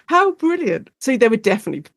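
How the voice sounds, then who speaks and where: A British woman speaking as an expert guest in a conversational interview, explaining history in an informal, animated storytelling way. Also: a little high, fast, no echo, studio quality. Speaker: guest expert, lecturer and author on Shakespeare in radio.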